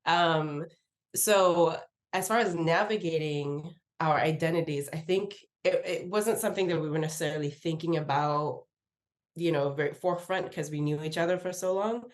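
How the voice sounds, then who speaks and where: Low-pitched woman from nigeria telling a long story on a podcast, chatty, laughs a lot, acts out quotes. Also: normal speed. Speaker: podcast guest, research professor. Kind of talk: casual interview storytelling.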